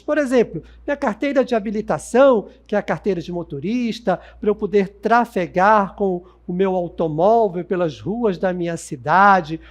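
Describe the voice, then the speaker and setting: Lively Amazonian teacher giving a lesson. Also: male voice, sits high. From Manaus, Amazonas, Brazil. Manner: lively and didactic, talks straight to students, asks and answers own questions. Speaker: sociology teacher. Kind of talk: recorded classroom lesson for students. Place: studio set with Amazon scenery backdrop.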